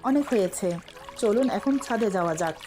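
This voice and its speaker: A woman voicing a shy, loving young newlywed wife in a romantic story, coy and gently chiding. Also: mid-range voice, lively intonation, normal speed, music in the background, natural voice. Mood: gently playful.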